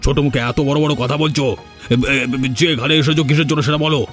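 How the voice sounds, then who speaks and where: Fast-talking blustering old village uncle played by a man. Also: a little high, flat delivery, natural voice. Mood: indignant, scolding. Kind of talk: Bengali cartoon dialogue. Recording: music behind the voice.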